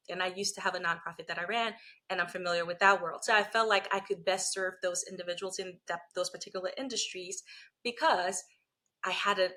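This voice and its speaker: A woman's voice: fairly low pitch, sharp tone. A woman from Liberia, a lawyer and coach, talking through her career as an interview guest in a reflective, explaining way. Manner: reflective, explanatory, frequent "you know" and "right?". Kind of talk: conversational interview.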